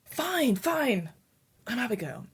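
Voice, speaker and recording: A woman's voice: on the high side, big pitch swings, takes it slowly, slightly rough, voice pushed up, heavily acted. A woman voicing an urgent, resourceful young woman on the run, with a slight Irish accent, talking hurriedly and pleading.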